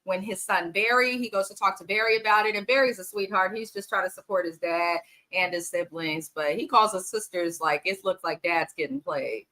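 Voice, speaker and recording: A woman's voice: on the low side, brisk, few pauses, sharp tone, rough recording. A woman hosting a casual, frank reality TV recap, chatting straight to her viewers and sniffly from allergies. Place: home in the Bay Area.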